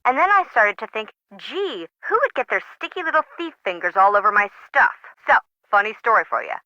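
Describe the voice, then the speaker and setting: A woman's voice: a little high, sing-song, brisk, pushed and bright, no echo. An actress playing a suspicious, taunting woman in a video game, mock-friendly and sarcastic, in a dull lo-fi recording. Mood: sarcastic, accusing. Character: taunting, suspicious woman accusing someone of theft. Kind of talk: video game character dialogue. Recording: dull, band-limited lo-fi sound.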